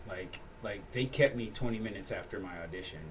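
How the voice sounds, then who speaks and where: Animated male actor, a bit off-mic. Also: lowish voice, fairly quick. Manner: animated storyteller, laughs often. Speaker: actor, interview guest. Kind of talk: casual chat. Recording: slightly distant mic, faint noise.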